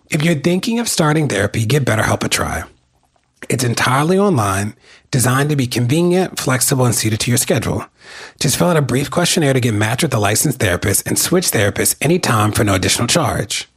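An American man with a husky voice, hosting a podcast. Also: mid-range voice. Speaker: podcast host and interviewer. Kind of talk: podcast hosting, sponsor reads and interview questions.

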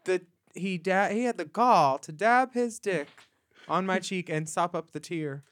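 A man's voice: high voice, animated, a little slow, a bit bright, no echo. A man co-hosting a casual gossip podcast from a studio, telling bawdy stories bluntly. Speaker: podcast co-host.

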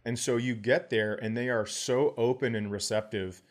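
A man's voice: mid-range voice, fairly even intonation, moderate pace. A middle-aged American man chatting casually and telling stories as a podcast host, in an informal, profane way. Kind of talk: casual chat, storytelling.

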